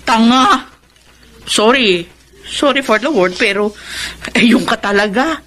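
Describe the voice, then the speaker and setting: A woman's voice: on the high side, expressive, takes it slowly, fairly bright tone, slight background noise. A woman playing a blunt, protective older sister in a Filipino radio drama. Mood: exasperated, scolding.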